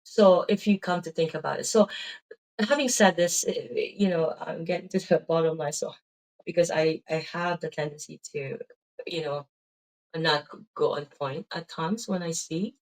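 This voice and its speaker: Low-voiced Filipino woman rambling about faith. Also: moderate pace, a little mellow, low-quality recording. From Philippines. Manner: meandering, full of "um" and "right?" tags. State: tired, drained of energy. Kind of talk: rambling casual faith talk.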